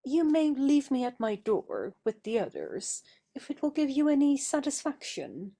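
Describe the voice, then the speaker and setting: A woman's voice: sits high, very animated, normal speed, vocal fry, mellow, heavily acted. A woman playing a proud, impulsive young society woman with a theatrical, changeable manner in an audio stage play. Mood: softened.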